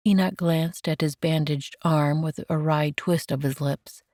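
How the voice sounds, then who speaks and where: A woman reads romance fiction as an audiobook narrator. Her voice is a little low and her delivery is slow, gentle and measured. The sound is very dry, with no room around it. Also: fairly even intonation, no echo.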